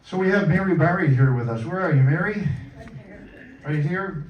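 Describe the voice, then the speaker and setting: A man's voice: fairly high pitch, lively intonation, moderate pace, muffled recording. A man acting as master of ceremonies, teasing and joking with the guests as he introduces them. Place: church hall at a commemoration event. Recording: echoey hall from far off, crowd behind.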